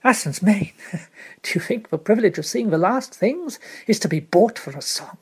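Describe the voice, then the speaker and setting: A young storybook king addressing his crew, as a reader puts him on, raised in pitch and volume above the narration. His speech is formal and commanding, with a husky touch. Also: expressive, moderate pace.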